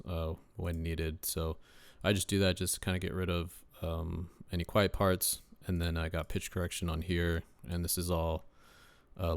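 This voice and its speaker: A deep-voiced young man, a rapper casually walking through his mixing setup in a relaxed way, with lots of ums and uhs. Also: no echo. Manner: laid-back, lots of uh and um fillers. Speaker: rapper and home mixing engineer. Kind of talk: casual screen-share mixing tutorial.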